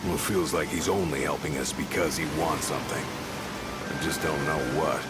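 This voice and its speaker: An actor playing a gruff, distrustful warrior companion in a video game, speaking bluntly and plainly. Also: male voice, a bass voice, steady tone, moderate pace. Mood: skeptical, blunt. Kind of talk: video game cutscene acting. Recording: voice over loud game music.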